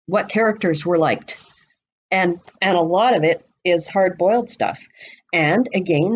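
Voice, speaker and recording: A woman speaking as a podcast guest, explaining things conversationally in an expansive, knowledgeable way. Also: lowish voice. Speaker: guest expert on a book podcast. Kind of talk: conversational explanation of literary history.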